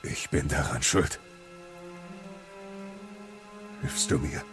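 A man playing a gruff, brooding warrior god and father in a video game audio drama, gravelly and terse. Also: extremely low pitch, faint music behind. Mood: grim, subdued. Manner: terse, slow, heavy delivery.

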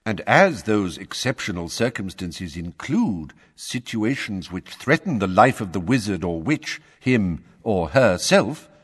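A man voicing an old wizard who speaks mildly, politely and in measured sentences. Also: on the low side, big pitch swings, husky, big-room sound, exaggerated voice. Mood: calm, courteous. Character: calm, courteous old male wizard, quietly firm.

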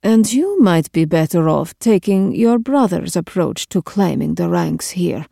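A woman voicing a stern, imperious queen, creaky and slow. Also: on the low side, animated, dark tone, no room sound, clean studio sound, heavily acted. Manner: clipped, authoritative, scolding.

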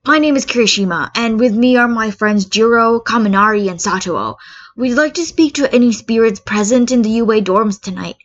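A woman's voice: a little high, a little fast, voice pushed up, lightly acted. A woman voicing an earnest, polite teenage boy who addresses spirits in a story. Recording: dull lo-fi recording.

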